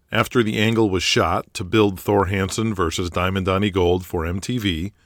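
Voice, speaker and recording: A man's voice: on the low side, no echo, studio quality. A man delivering scripted, dramatic narration as the narrator of an audio drama.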